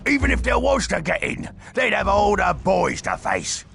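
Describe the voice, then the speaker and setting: An actor playing a boastful, excitable orc boss in a video game, with a growling voice and broken, exclamatory speech. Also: male voice, high voice, normal speed, soft background music, exaggerated voice. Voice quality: gravelly, growling. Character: boastful, excitable orc stronghold boss, uncouth and easily panicked. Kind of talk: video game cutscene acting.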